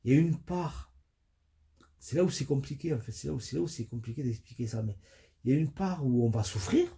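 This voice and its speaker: Dark-voiced man with a stuffy nose. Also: mid-range voice, expressive, gravelly. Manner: halting, many fillers and restarts. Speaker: spiritual coach. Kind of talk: hesitant spoken audio monologue.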